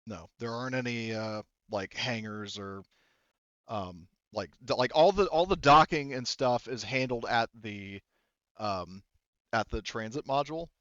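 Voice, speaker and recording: Man, game master, casual tabletop narration, halting, backtracking, dry slightly muffled recording. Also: mid-range voice, fairly bright tone, dry recording. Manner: informal, halting, backtracking mid-sentence.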